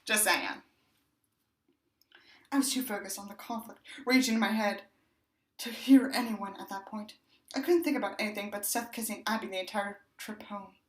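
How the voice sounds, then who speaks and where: A woman's voice: medium pitch, steady tone, moderate pace, long pauses, echoey room. A woman narrating a book expressively, with sardonic, exasperated asides as a commentator on what she reads. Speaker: audiobook reader and commentator.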